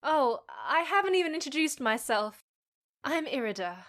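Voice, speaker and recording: An actress playing a frank, wry half-human job seeker in a fiction podcast, candid and slightly awkward. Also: female voice, sits high, big pitch swings, moderate pace, fairly bright tone. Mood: friendly, awkward.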